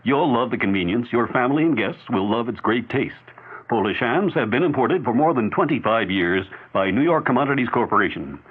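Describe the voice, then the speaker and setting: Male commercial announcer, 1970s radio, animated delivery, rough-edged husky tone, narrow phone-like band. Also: mid-range voice, brisk. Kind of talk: scripted 1970s radio ad read. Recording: phone-like old radio broadcast.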